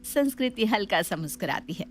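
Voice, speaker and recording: A woman's voice: mid-range voice, animated, brisk, faint music behind. A woman narrating a scripted family story as a storyteller.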